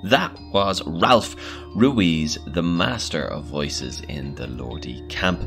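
A man with a low voice delivers a podcast outro as host. Guitar music plays clearly behind him. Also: animated, slow.

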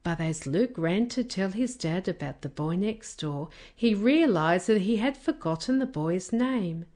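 A woman reading a children's story as a careful, expressive narrator. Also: medium pitch, unhurried. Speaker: storybook reader.

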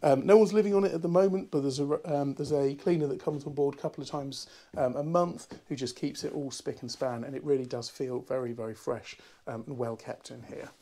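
A man, a bit hoarse from a cold, chatting through a walkthrough tour of a barge. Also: mid-range voice, steady tone, fairly quick, mellow. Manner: chatty and upbeat, many ums. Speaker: boat broker. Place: inside a barge, small cabin rooms.

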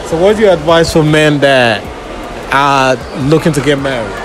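A man's voice: fairly high pitch, moderate pace, over music, low-quality recording. Casual man interviewing shoppers. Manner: relaxed, slangy questions. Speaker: street interviewer. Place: indoor shopping mall. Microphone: handheld phone.

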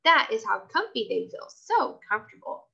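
An eager, chatty little girl from a storybook, acted by a woman, high and childish. Her speech is excitable and bounces around in pitch, on a slightly dull recording. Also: normal speed, vocal fry, breathy edge, exaggerated voice. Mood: excited.

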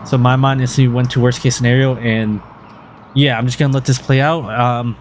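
A man's voice: medium pitch, flat delivery, brisk, noisy background. A man narrating his motorcycle vlog in an earnest, rambling way with many fillers and restarts. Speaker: motovlogger host. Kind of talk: casual voice-over vlog narration.

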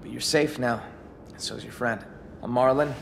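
An actor playing a wary but calm teenage leader of survivors in a video game, with an American accent and a casual, assured authority. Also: male voice, medium pitch, normal speed, creaky, a little hiss or hum, natural voice. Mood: easygoing, apologetic. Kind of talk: video game drama acting. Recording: echoey hall reverb, music underneath. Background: music.

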